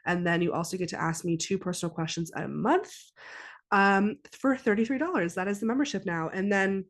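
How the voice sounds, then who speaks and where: A woman's voice: mid-range voice, a little fast. Chatty congested young woman podcaster. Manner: chatty, rambling, with frequent ums and restarts. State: congested from a head cold. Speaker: podcast host and psychic medium. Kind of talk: casual solo podcast chat.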